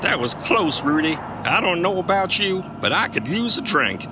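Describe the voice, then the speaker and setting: A man's voice: high-pitched, big pitch swings, moderate pace. A man playing a cocky, dim, macho American trucker and amateur detective in a comic audio drama, folksy and self-assured. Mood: world-weary, folksy. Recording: noisy vehicle and road ambience.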